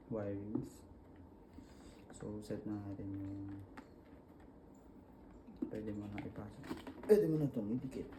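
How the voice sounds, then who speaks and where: Slow-talking Filipino man, noisy recording. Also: fairly low pitch. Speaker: vlogger demonstrating a product installation. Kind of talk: casual hands-on tutorial, talking while working.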